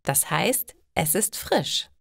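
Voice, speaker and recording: A woman's voice: low voice, big pitch swings, takes it slowly, gravelly, no echo, clean studio sound, exaggerated voice. A woman voicing a friendly young woman from Bavaria in a story, cheerful and helpful.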